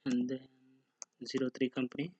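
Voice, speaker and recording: A man with a mid-pitched voice narrates a software lesson over background noise and clicking. He explains things step by step, often asking a question and then answering it himself. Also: completely flat delivery, normal speed, dull audio. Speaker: software instructor. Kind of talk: screen-recorded tutorial narration.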